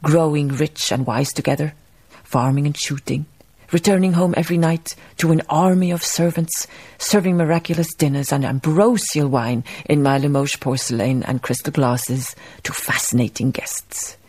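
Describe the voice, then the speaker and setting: An actress playing a wistful, wry Danish baroness, narrating a literary radio drama in a measured way. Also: female voice, deep voice, steady tone, darkish tone, husky. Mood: wry, rueful. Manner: measured storytelling delivery.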